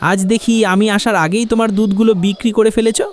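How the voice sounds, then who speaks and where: A man's voice: high-pitched, little pitch movement, quick pace, close to an everyday voice. A man playing a scheming, bossy village milkman and husband in a cartoon moral tale, with an argumentative, wheedling way of talking. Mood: casual. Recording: music behind the voice.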